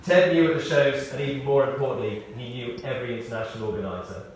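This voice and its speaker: A man reading a prepared tribute aloud in short, measured phrases, with wry storytelling. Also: medium pitch, steady tone, darkish tone. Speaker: tribute speaker. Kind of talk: read-out memorial tribute with anecdotes. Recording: echoey hall, distant, faint noise.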